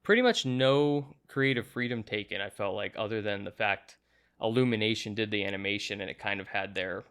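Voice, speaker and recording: A man's voice: fairly low pitch, fairly quick, a bit bright, clean tone. A man hosting a casual, agreeable movie review conversation, talking in a conversational way.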